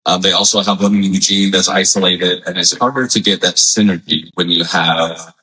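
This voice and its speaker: A man's voice: deep voice, fairly even intonation, normal speed, bright, forceful tone, low-quality recording. A man in his forties from Hawaii, a physical therapist, talking in a friendly, easygoing way with many fillers. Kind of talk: casual interview.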